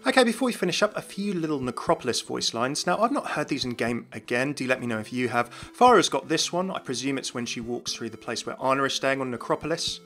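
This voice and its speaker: A man's voice: mid-range voice, lively intonation, quick pace, hardly any pauses, fairly bright tone. A man hosting a gaming news video in scripted, explanatory voice-over narration. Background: music.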